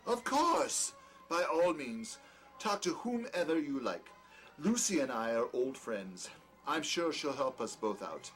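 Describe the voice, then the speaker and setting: A man playing a peculiar, condescending doctor in a drama, speaking in a formal, wordy way, smoothly charming yet scornful. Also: medium pitch, big pitch swings, unhurried, a bit bright, faint music behind. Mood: courteous, charming. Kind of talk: dramatic dialogue in an audio play or table reading.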